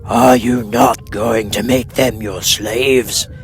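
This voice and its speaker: A man voices a fierce storybook wolf, leader of the pack. He speaks very slowly and obediently, at a middle pitch. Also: fairly even intonation, gravelly, faint music behind. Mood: obedient, menacing. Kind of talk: audiobook character voice.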